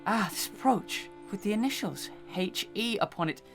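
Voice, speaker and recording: Woman as sharp solemn accuser, audio melodrama, declamatory, deliberate, soft music behind. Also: mid-range voice, expressive, vocal fry. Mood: triumphant discovery. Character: sharp, solemn accuser examining murder evidence. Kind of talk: Victorian melodrama audio acting.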